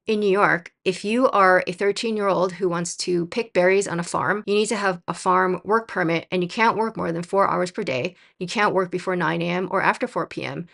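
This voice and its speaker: A young woman from the United States talks through her argument in a scripted commentary video. Her voice sits low and has a gravelly, fry-tinged edge. She sounds opinionated and a little sarcastic as she makes her points. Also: fairly quick, hardly any pauses, fairly bright tone. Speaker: video essayist.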